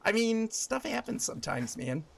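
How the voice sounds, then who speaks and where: A man's voice: high voice, big pitch swings, gravelly, background noise. Chatty fortysomething male streamer. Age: 40s. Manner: chatty, rambling, quick to laugh. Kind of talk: casual live-stream chat while gaming.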